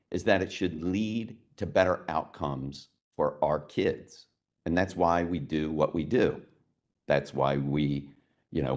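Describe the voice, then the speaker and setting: A man giving a prepared opening speech as a state education official, in an earnest, steady and formal way. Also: a bass voice.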